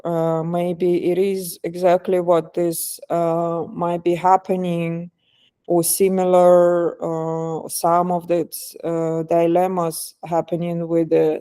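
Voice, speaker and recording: Halting low-voiced woman. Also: dark tone, clear, resonant tone. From Estonia. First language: Russian. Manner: hesitant, many fillers and restarts. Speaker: guest artist. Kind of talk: casual interview talk.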